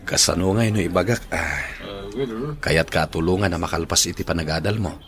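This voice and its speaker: Very deep-voiced, evasive Filipino suitor. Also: male voice, fairly quick. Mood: earnest, wooing. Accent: Ilocano Filipino. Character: evasive, smitten man juggling two women. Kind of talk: Ilocano radio drama acting.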